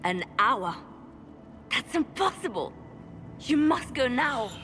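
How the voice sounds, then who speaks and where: An actress playing a grim, resolute spymaster in a fantasy video game, solemn and intense. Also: female voice, fairly high pitch, big pitch swings, slow pace, background noise. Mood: alarmed. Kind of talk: video game cutscene acting. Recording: music behind the voice.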